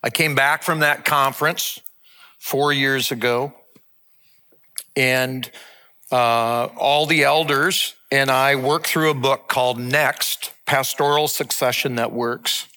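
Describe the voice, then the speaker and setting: Earnest bright-voiced pastor in his sixties. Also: mid-range voice, fairly even intonation. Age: 60s. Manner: earnest, measured, heartfelt. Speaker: lead pastor. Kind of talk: sermon and farewell announcement.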